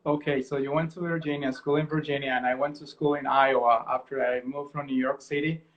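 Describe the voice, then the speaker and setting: A man's voice: medium pitch, steady tone, a little fast. Man host, dull lo-fi sound. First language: Spanish. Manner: conversational, storytelling, self-correcting. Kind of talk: casual video-call interview.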